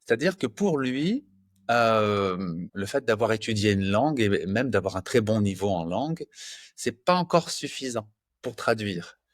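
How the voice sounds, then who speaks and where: A man in his fifties from France with a lowish voice, explaining his craft in a patient, measured way, pausing often to find the right word. The recording is dry and close, with no reverb. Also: expressive. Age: 50s. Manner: measured, patient, many drawn-out fillers. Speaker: guest, translator. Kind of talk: explanatory interview answers.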